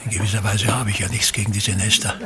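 An actor playing a pompous, self-pitying aging actor-playwright in a radio drama, declaiming in brooding, halting fragments with grand scorn and a gravelly voice. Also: male voice, fairly low pitch, little pitch movement, a crowd in the background, close to an everyday voice. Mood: musing, bitter. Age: older. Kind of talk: theatrical radio drama monologue.

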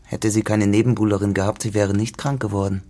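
An actor playing a lonely, accusing farmer in a literary radio drama, with a husky voice. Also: male voice, lowish voice, flat delivery, fairly quick, mellow, natural voice. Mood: accusing, grave. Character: lonely, accusing, menacing male farmer, a spurned rival.

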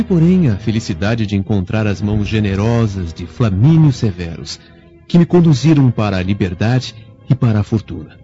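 Radio drama role, male actor: wealthy freed slave, courteous and secretly vengeful, dark low tone, music underneath. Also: natural voice. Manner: formal, courteous, polished. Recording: dull lo-fi audio with music.

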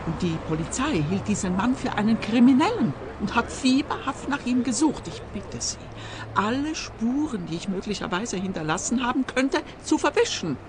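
An anxious, high-minded woman from a radio play, played by an actress. Her medium-pitched voice swings widely as she speaks in formal, earnest, dramatic phrases. Clear outdoor noise sits behind her. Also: close to an everyday voice.

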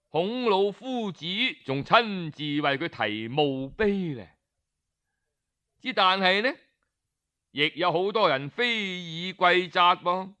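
A man with a Cantonese accent narrating a historical tale in traditional oral storytelling style, expressive and colloquial, addressing his listeners with small interjections. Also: high-pitched, clean tone.

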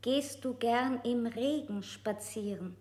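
An actress playing an insistent questioner in a radio play, asking the same thing again and again. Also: female voice, on the high side, takes it slowly, a little mellow.

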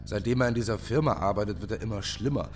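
A man's voice: lowish voice, fast talker, slight background noise, natural voice. An actor playing an easygoing young male friend in a radio drama. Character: easygoing student-flat buddy Frank.

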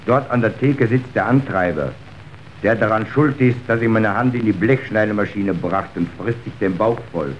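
An actor playing a bitter, vengeful injured factory worker in a radio drama, gruff and curt in manner. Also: male voice, lowish voice, moderate pace, close to an everyday voice. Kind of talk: German radio drama acting. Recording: dull, noisy old radio recording.

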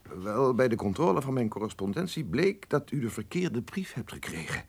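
Stiff polite businessman in a radio play, husky, lively pitch, hesitates and repeats words. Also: normal speed, heavily acted. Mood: awkwardly polite. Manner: formal, hesitant, repeating himself. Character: formal, awkward male business client.

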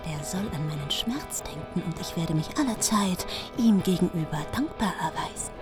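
A woman's voice: lowish voice, lively intonation, moderate pace, music in the background, lightly acted. A woman acting a grieving, vengeful queen, outwardly gracious, in a German radio play. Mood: bitter resolve.

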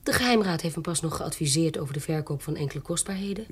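An actress playing a shrewd, self-assured baroness in a radio drama, speaking formally, pointedly and insistently with a husky voice. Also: female voice, deep voice, fast talker, slight background noise, close to an everyday voice. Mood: probing. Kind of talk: Dutch radio drama acting.

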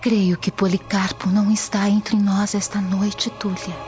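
A devout young Roman woman played by an actress in a radio drama, with a Brazilian accent. Her voice sits at an average female pitch. The sound is somewhat dull, with light music behind. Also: flat delivery, measured pace, a little mellow, lightly acted. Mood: anxious, hushed.

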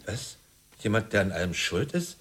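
An actor playing an indignant, flustered film director held hostage in a German radio drama, incredulous and sputtering. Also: male voice, lowish voice, big pitch swings, moderate pace, fairly bright tone, slightly rough, exaggerated voice. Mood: indignant, scornful.

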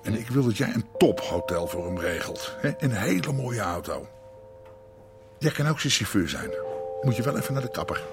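An actor playing a middle-aged Amsterdam underworld boss in a radio play, with an Amsterdam accent, hesitant and full of filler sounds but bossy. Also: male voice, medium pitch, big pitch swings, moderate pace, over music.

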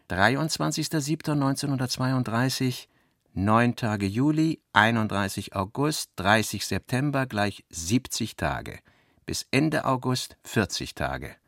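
An actor playing a brooding male novelist who reads out his own work notes in clipped, measured phrases for a radio play. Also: mid-range voice, animated, fairly bright tone, no echo, studio quality. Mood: sober, noting. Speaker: actor reading a writer's notebooks. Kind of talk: radio drama reading of diary notes.

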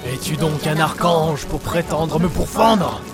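An actor playing an arrogant, wrathful god in a French fantasy audio drama, proclaiming with grandiose menace. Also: male voice, fairly high pitch, measured pace. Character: arrogant, wrathful male god of strange worlds. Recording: loud music under the voice.

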